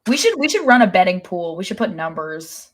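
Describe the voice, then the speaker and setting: A woman's voice: fairly low pitch, sing-song, a little fast. A woman co-hosting a sports podcast with casual, conversational commentary.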